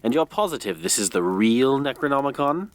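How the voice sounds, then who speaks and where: A man's voice: medium pitch, very animated, a little fast, lightly acted. A man playing a curious young collector of occult relics in an audio drama, eagerly savouring grisly details. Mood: fascinated.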